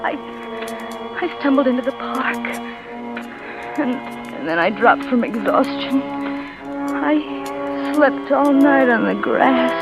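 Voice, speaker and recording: A high-pitched, frightened young wife telling her story in breathless, stammering bursts. It sounds like a 1940s radio thriller, with music playing beneath her voice. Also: slow, long pauses, muffled recording. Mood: tense. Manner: breathless, stammering first-person narration. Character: frightened young wife turning murderous, tense. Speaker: narrator and lead character. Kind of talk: 1940s radio drama acting.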